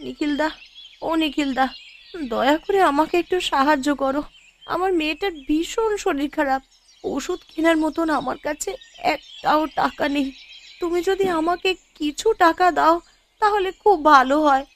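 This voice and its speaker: A woman's voice: high-pitched, normal speed, fairly bright tone. A woman voicing a poor mother in a cartoon, desperate and imploring, with a plaintive manner. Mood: pleading. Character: desperate poor mother pleading for her sick child. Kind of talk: Bengali cartoon voice acting.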